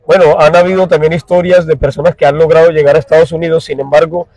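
A middle-aged man reporting live for radio from a crowded outdoor dock, speaking in a formal, measured way into a handheld mic. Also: on the high side, level tone, a little mellow, no room sound, poor audio. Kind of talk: live field report and street interviews. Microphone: handheld mic held close.

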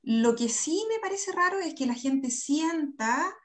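Interview guest: Chilean woman, fairly high voice, candid conversational delivery, computer mic indoors. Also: animated, a little slow. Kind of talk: casual conversational interview talk. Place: indoor room on a video call. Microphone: computer video-call microphone.

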